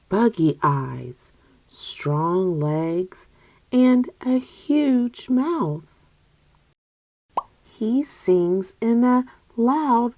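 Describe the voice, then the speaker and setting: Slow, expressive woman reading a storybook. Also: fairly low pitch, dry recording. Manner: deliberate, expressive. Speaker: children's story reader. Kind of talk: expressive picture book read-aloud.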